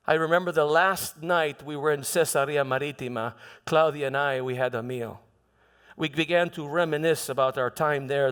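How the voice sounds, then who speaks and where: A man playing an aging, haunted Roman governor, delivering a reflective, dignified dramatic monologue in measured, halting phrases. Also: medium pitch, a bit bright, lightly acted. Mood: pensive. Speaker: performer in a church dramatization. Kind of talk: dramatic first-person monologue. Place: large church hall. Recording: long hall reverb.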